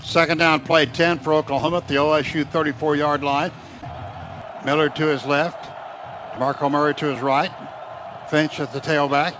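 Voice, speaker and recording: A man's voice: a little high, normal speed, a crowd in the background. An American man calling live college football on radio, describing each play in clipped phrases and lifting his voice when the action builds. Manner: clipped running description, rising into excited calls on big plays. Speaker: radio play-by-play announcer for the Oklahoma team. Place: outdoor football stadium broadcast. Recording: dull, noisy stadium radio broadcast.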